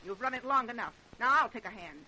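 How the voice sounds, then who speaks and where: Sharp, fed-up working-class mother. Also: on the high side, lively intonation, fast, slight background noise, poor audio. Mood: angry, defiant. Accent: American. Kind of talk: 1930s radio drama acting. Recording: old radio drama recording.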